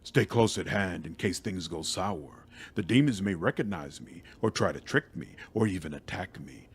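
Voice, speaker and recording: A man voicing a composed, calculating otherworldly male mentor in a fantasy game, speaking formally and deliberately. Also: low voice, animated, a little hiss or hum. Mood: calm, calculating.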